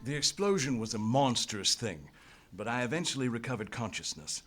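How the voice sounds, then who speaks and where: A man's voice: medium pitch, big pitch swings, fairly quick, over loud music, natural voice. An actor playing a beleaguered, stoic adventurer hero in a live radio play, telling his story in a formal, dramatic way. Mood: tense, dramatic. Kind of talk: live radio play acting, scripted first-person narration. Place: theatre stage, live performance. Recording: noisy live stage recording.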